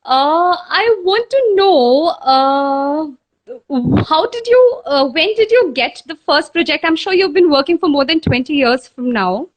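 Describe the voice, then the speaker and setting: A woman's voice: sits high, expressive, a little slow, low-quality recording. A woman hosting a casual live interview, effusive and complimentary, laughing often between questions. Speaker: interviewer, host.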